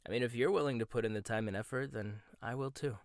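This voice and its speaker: A young man voicing a proud, sharp-tongued male chef in a roleplay audio, speaking close to the mic. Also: medium pitch, fast talker, vocal fry, dry recording, natural voice. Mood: soft, sincere. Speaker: voice actor. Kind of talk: boyfriend ASMR roleplay.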